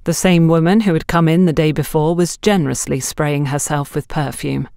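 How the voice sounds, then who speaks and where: A woman's voice: sits low, fairly even intonation, normal speed, gravelly, warm tone, no room sound, studio quality. A woman narrating a scripted mystery story as an audiobook reader.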